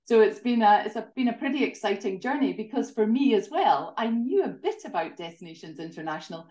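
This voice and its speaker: A woman's voice: a little high, lively intonation, brisk, warm tone, roomy sound. A Scottish woman in her forties, a tourism association director, talking articulately as an interview guest over a video call from her home. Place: home living room. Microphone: laptop webcam video call.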